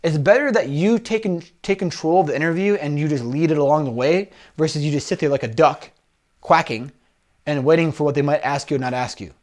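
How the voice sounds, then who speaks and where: Man, slightly high voice, career coach, directive and repetitive, video call audio. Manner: directive, repeats points, quick asides. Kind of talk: one-on-one interview coaching.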